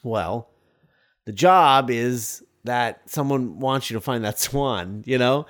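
A man's voice: medium pitch, slow, a bit bright. A man co-hosting a podcast, casually discussing a film in a rambling way and repeating phrases for emphasis. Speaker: podcast co-host.

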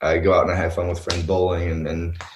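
A man's voice: sits low, monotone, quick pace, a little hiss or hum. A man in his twenties from Texas, a guest in a casual remote interview, talking in an easygoing, rambling way with lots of fillers. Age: 20s. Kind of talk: casual chat. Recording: roomy, echoey sound.

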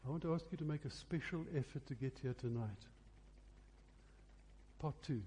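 A man preaching a revival sermon earnestly and deliberately, pressing his questions with repeated emphasis. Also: mid-range voice, warm tone, a little hiss or hum. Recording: husky, very reverberant church hall sound.

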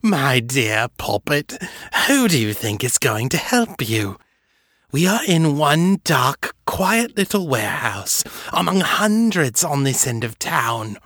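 A man's voice: medium pitch, sing-song, slow, a bit bright, no room sound, clean studio sound, voice pushed up, heavily acted. A man voicing a gleeful, sadistic male vampire who taunts in a sing-song, cooing way. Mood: mocking.

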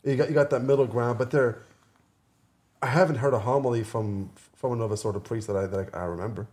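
A man with a mid-pitched voice talks in a casual podcast discussion. He sounds earnest and conversational, often doubling back on a phrase to stress it. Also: fairly even intonation, quick pace, a little mellow.

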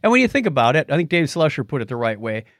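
A man hosting a casual tech podcast, telling stories in an animated way and acting out reactions as he goes. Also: a little high, very fast, no echo, studio quality. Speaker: podcast host.

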